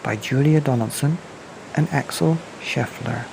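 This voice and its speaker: A man narrating a rhyming bedtime picture book in a measured, expressive read-aloud style. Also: medium pitch, creaky, slight background noise, rough recording. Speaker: podcast storyteller.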